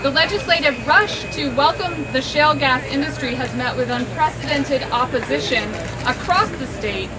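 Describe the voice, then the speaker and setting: High-voiced woman addressing an outdoor crowd. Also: normal speed, few pauses. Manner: speaking up without amplification, friendly with fillers. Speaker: advocacy group organizer hosting a press conference. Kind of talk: outdoor press conference speech. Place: outdoors on a college campus, in a crowd. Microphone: recorder at a distance, no sound system. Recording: outdoor crowd and loud traffic noise.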